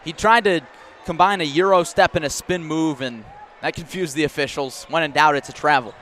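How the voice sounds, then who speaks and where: A man's voice: fairly high pitch, steady tone, brisk, a bit bright. A man doing live radio play-by-play of a basketball game in clipped running description that rises on big plays. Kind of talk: live radio sports commentary. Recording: faint gym noise, bouncing balls. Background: basketball game in a gym.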